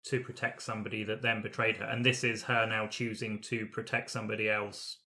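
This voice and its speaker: A man's voice: fairly low pitch, level tone, fairly quick, pushed and bright. A man chatting casually as a player in a tabletop roleplaying discussion.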